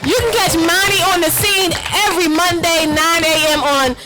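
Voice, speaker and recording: A woman hosting a live talk show at a bar, chatty and exuberant, hyping things up with lively banter. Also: very high voice, moderate pace. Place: live event in a bar restaurant. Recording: music playing behind the voice.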